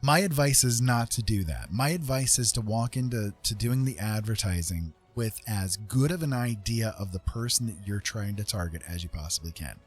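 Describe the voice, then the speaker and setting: Husky, low-voiced man chatting casually. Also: animated, moderate pace, hardly any pauses, faint music behind. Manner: informal, rambling, often checks in with "right?" and "you know". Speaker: livestream host giving advice. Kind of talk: casual advice chat to viewers.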